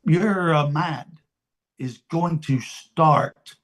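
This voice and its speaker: Slow-paced preacher in his seventies, male. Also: a little high, a bit bright. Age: 70s. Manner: deliberate, emphatic, repeats phrases with long pauses. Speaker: pastor. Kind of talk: sermon, informal teaching.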